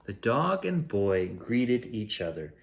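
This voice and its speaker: A man reading a children's picture book aloud as a bedtime storyteller, in a measured, expressive way. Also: fairly low pitch. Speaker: bedtime story reader, host.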